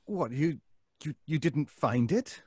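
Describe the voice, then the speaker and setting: An actor playing a nervous, obsessive neighbor in an old-time radio suspense drama, stammering and pleading. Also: male voice, on the high side, expressive, slow pace, warm tone, slightly rough, no room sound, studio quality, heavily acted. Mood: bewildered. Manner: stammering, hesitant, breaking off.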